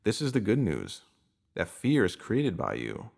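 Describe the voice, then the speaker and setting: A man's voice: on the low side, wildly swooping pitch, measured pace, creaky, a little mellow. A man reading a scripted self-help piece, addressing the listener directly. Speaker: reader of written posts.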